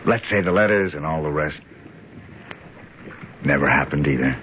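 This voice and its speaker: An actor playing a tough, wry, protective investigator in a 1970s radio drama. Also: male voice, very deep voice, moderate pace, a little hiss or hum. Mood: easy, reassuring. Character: tough, wry, protective male investigator narrating his adventure. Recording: dull old radio broadcast, music behind.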